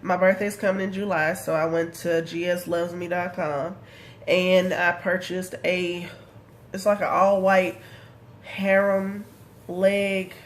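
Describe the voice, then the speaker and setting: A woman's voice: lowish voice, slight background noise. Stuffy-nosed woman chatting casually on camera. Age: 30s. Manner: chatty and rambling, many ums and likes. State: congested from a head cold. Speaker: vlogger. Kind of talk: casual video diary update.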